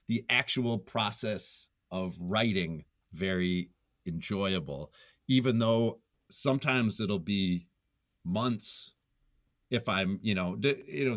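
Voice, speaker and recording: A man with a fairly low voice talks as a writer being interviewed, casual and reflective. He feels his way through each thought, often repeating a phrase before moving on. The sound is close and dry. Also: a bit bright, no echo. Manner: halting, thinking aloud, circles back to repeat phrases. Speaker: podcast guest, nonfiction writer.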